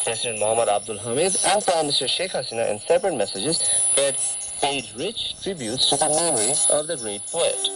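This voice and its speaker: Formal male news announcer, animated pitch, noisy shortwave broadcast. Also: brisk. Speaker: radio newsreader. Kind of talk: formal scripted news reading. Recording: noisy shortwave radio reception.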